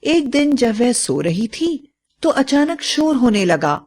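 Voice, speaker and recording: A woman's voice: fairly high pitch, very animated, slow pace, darkish tone. A woman narrating a scripted story as a storyteller.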